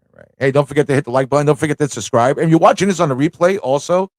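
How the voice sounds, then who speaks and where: A man's voice: a little high, very fast, no room sound. Casual male live streamer, dry close sound. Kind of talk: casual live stream chat, reading aloud and reacting.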